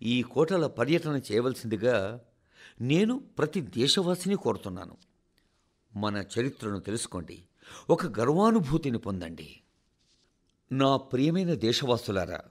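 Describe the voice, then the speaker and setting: Man delivering an animated, solemn radio address. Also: medium pitch. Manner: solemn, oratorical, measured phrasing with dramatic pauses. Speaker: radio reader of a translated national address. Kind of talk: scripted formal radio address.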